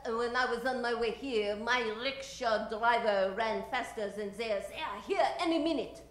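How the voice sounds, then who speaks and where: An actress playing a commanding, scheming villainess in an old-time radio play, with drawn-out, imperious delivery. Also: female voice, on the high side, close to an everyday voice. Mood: scornful. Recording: echoey stage, faint music behind.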